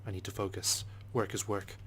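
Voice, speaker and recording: Low-pitched man, quick pace, sardonic game narration, faint background noise. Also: flat delivery, fairly bright tone. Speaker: let's play narrator. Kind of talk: reading a visual novel aloud in character.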